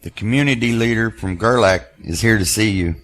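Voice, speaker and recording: A man's voice: fairly low pitch, a little fast, a bit bright, close to an everyday voice. A man playing a polite, deferential military aide in an audio drama. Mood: respectful.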